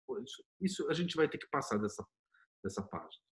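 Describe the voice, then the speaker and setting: Brazilian male interview guest, somewhat higher-pitched, informal and emphatic in casual conversation. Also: animated, a little fast. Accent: Brazilian Portuguese. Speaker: interview guest, TV producer and screenwriter.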